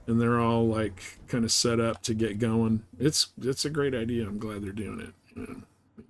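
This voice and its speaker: A man's voice: mid-range voice, fairly even intonation, moderate pace, slight background noise. Laid-back man streaming a game. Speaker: live streamer playing a space game. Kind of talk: casual gaming stream chat, thinking aloud.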